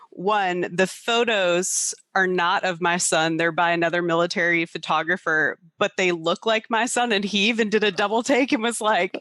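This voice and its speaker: A woman's voice: mid-range voice, fairly bright tone, no echo. A woman co-hosting a podcast, talking casually and candidly about personal life with self-deprecating openness. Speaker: podcast co-host.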